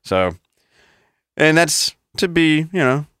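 Casual talkative podcast guy, cusses a lot, lively up and down voice, dry close sound. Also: a little high, takes it slowly, clean tone, no echo. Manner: rambling, profane, laughs often. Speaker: podcast co-host.

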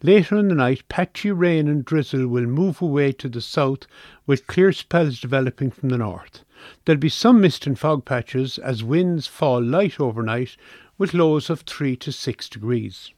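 A man's voice: mid-range voice, animated, a little slow, a little mellow, dry recording, studio quality. A man with an Irish accent reading a scripted weather forecast for radio.

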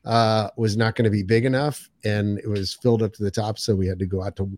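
A man's voice: fairly low pitch, steady tone, fast, darkish tone, no room sound. A man co-hosting a podcast in casual chat, rambling and jokey as he talks. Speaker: podcast co-host.

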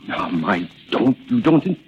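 An actor playing a suave, evasive philandering gentleman in a 1930s radio drama, smooth-talking, pompous and hesitant. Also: medium pitch, sing-song, measured pace, full character voice. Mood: placating, self-satisfied. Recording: muffled old 1930s radio recording.

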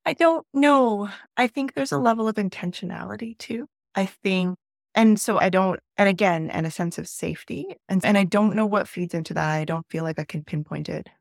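A woman's voice: medium pitch, moderate pace, creaky, no room sound, studio quality. A middle-aged woman from Peru, a podcast guest, telling a thoughtful personal story with frequent restarts. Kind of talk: reflective conversational storytelling.

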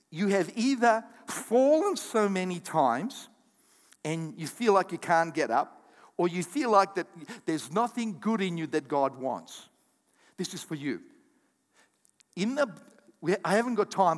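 A man preaches to his congregation in a high voice that leaps up and down with energy. He calls on the crowd to repeat words and drops in quick asides as he goes. His voice rings with a long reverb through the hall. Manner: animated preaching with audience call-outs and asides. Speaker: pastor. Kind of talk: sermon.

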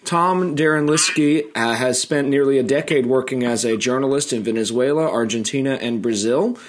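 A man's voice: medium pitch, moderate pace. Chatty middle-aged male podcast host. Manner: chatty, with fillers and restarts. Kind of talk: educational interview.

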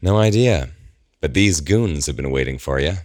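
A laid-back male crime boss with a smirk in his voice, played by a man reading an audiobook. It is lighter than the reader's very deep, gravelly narration, recorded close and dry. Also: animated, moderate pace, a little mellow, no room sound. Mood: wry. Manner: wry, smirking.

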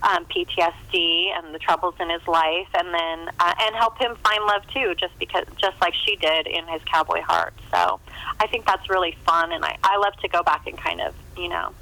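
A woman's voice: fairly low pitch, normal speed, pushed and bright. A middle-aged American woman chatting casually and enthusiastically as a novelist in an interview, in a rambling way over a phone line.

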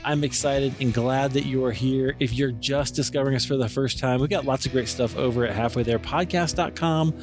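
A man from Iowa hosting a podcast interview in a friendly, relaxed way with plenty of ums. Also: mid-range voice, fairly quick, few pauses, music in the background. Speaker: podcast host.

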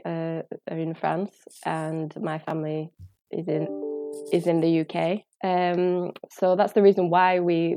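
A woman's voice: on the low side, warm tone, dry recording. Chatty young woman. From England. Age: young adult. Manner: chatty, laughs easily, with small restarts. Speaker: interview guest, English teacher.

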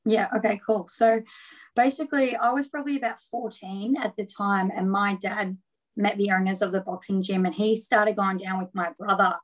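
An Australian woman answering as a guest in a casual interview, relaxed and rambling, filling her talk with "like". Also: mid-range voice, normal speed, rough recording. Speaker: boxer, guest.

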